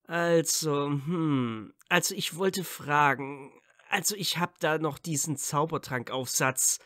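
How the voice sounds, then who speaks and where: Shy teenage wizard boy voiced by a woman, low register, hesitant, earnest, dry audio-drama sound. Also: takes it slowly, a bit bright, no echo. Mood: unsure. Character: shy, awkward dark-haired teenage male wizard student. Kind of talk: fan audio drama acting.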